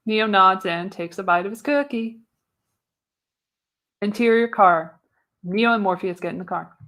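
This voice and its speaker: A woman's voice: medium pitch, unhurried, a bit bright. Woman narrating a live radio play. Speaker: narrator, show host. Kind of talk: scripted narration of stage directions in a live radio play reading.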